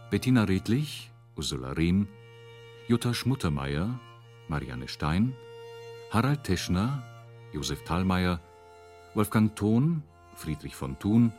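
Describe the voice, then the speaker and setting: A man's voice: sits low, darkish tone. A man reading a scripted announcement as the announcer of a radio drama. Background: string music.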